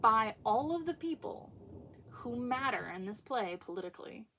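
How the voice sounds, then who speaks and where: A woman giving casual, opinionated commentary on literature in an emphatic way, with dramatic pauses. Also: fairly high pitch, animated, pushed and bright, slight background noise.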